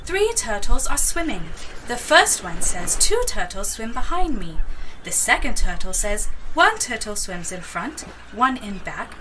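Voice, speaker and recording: A woman's voice: mid-range voice, very animated, unhurried, sharp tone, far from the mic, a little hiss or hum. A woman playing a stern, formal quiz master in a fantasy audio drama. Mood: formal, commanding. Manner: formal, declarative.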